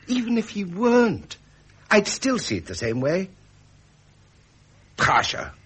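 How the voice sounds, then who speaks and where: An actor playing a frustrated young prince in a period radio drama, with an upper-class English accent and an impassioned, theatrical manner. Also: male voice, sits high, big pitch swings, slow pace, fairly bright tone, husky, heavily acted. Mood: indignant. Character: frustrated young British prince, ardent and indignant.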